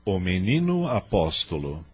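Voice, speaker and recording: Deep-voiced, expressive Brazilian male narrator. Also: a little slow. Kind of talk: scripted radio drama narration. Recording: music under the voice.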